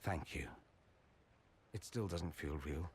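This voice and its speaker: An actor playing a witty, theatrical aristocratic mage in a video game cutscene, with a dry, self-mocking and flamboyant manner. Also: male voice, deep voice, takes it slowly, a little hiss or hum. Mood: subdued, matter-of-fact.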